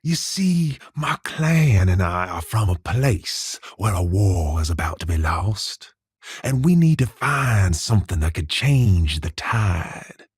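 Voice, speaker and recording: Game villain: husky, slow-talking menacing gunslinger, sardonic, lively swings in pitch. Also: male voice, no room sound, studio quality, heavily acted. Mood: taunting, boastful. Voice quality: husky, raspy. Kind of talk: dramatic video game cutscene acting.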